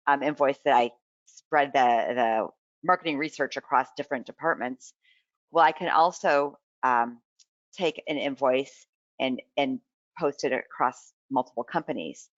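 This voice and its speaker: A presenter giving a live software demonstration in an informal way, explaining and thinking aloud while navigating. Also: medium pitch, no echo, highs cut off.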